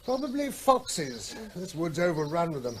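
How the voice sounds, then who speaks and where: A man's voice: a little high, big pitch swings, moderate pace, slight background noise. An actor playing a middle-aged country vet and father in a vintage radio drama, teasing and matter-of-fact. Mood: steady. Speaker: David Coleman, town vet.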